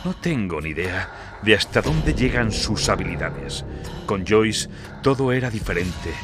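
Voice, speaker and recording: Man playing haunted, anguished man, low slow voice, horror audiobook, music behind. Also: lively intonation. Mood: bitter, fearful. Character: anguished man confessing grim dread of an evil child.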